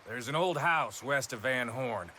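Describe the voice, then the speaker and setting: Mocking outlaw sidekick in a video game, smug, sharp voice, little bit of background noise. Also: male voice, mid-range voice, animated, unhurried. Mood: sly, mocking. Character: sly, mocking male outlaw henchman. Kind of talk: cinematic video game acting.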